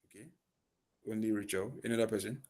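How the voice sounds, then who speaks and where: A man runs an online physics lesson through a headset on a video call. His voice sits fairly low, and he quizzes his students as he goes, answering the questions himself. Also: fairly even intonation, quick pace, darkish tone. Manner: asks leading questions and fills in the answers. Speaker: physics tutor.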